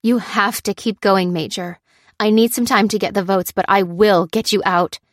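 A woman's voice: mid-range voice, expressive, moderate pace, no echo, studio quality, pitched above the performer's own voice, lightly acted. A woman voicing an anguished, determined female researcher in an audiobook, breathless and pleading. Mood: insistent. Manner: breathless, urgent, insistent.

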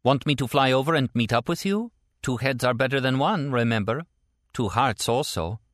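Casting: tender, anxious, teasing woman for a novel male narrator acting her, raised pitch, lively inflection. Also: moderate pace, dry recording, clean studio sound, close to an everyday voice. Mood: hopeful, teasing. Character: frightened, teasing, tender American woman on a phone call.

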